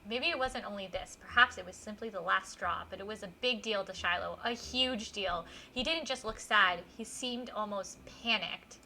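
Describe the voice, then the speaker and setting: A woman livestreaming a visual novel, chatting casually and reading the story aloud in an animated way, reacting out loud. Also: mid-range voice, moderate pace, hardly any pauses, a little hiss or hum, low-quality recording. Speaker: streamer playing a visual novel. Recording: faint music behind.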